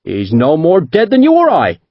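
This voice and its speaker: A genial naval captain with a broad Devonshire accent, put on by a male narrator, courteous and breezy. The voice sits well above his usual pitch and swings about with plenty of life. The recording is dry, a little dull and husky. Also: huge pitch swings, moderate pace, no room sound, full character voice. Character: friendly, courteous English naval captain, man.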